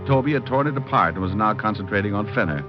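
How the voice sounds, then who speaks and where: An actor playing a cocky, wisecracking hardboiled private detective who narrates with deadpan humor in an old-time radio drama. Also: male voice, lowish voice, quick pace, lightly acted. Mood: wry narration. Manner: deadpan, flippant wordplay in narration and dialogue. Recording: muffled old radio recording with music.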